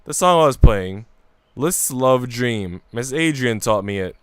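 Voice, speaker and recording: Guy doing snooty sulky young noble lady from a visual novel, bossy and curt, clear voice. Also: medium pitch, lively intonation, measured pace, dry recording, lightly acted. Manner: curt, imperious, dismissive. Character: haughty, sullen young noblewoman, scornful of her maid.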